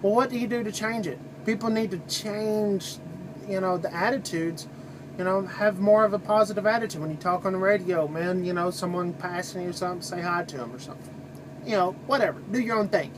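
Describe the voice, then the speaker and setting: A man's voice: high-pitched, fairly quick, background noise. A man giving a casual, rambling vlog monologue in a folksy, opinionated, informal way. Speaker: trucker video blogger.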